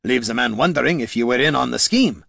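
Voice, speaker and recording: A man voicing a tough, shrewd male dwarf in an audiobook, folksy and rough-spoken. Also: medium pitch, lively intonation, quick pace, sharp tone, no room sound, studio quality, exaggerated voice. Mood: suspicious. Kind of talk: audiobook character dialogue.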